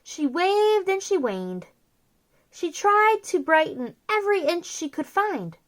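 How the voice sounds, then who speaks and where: Female picture-book narrator, bright-pitched and very lively in intonation, expressive and theatrical. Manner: theatrical, drawn-out emphasis on key words. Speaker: children's storyteller, host.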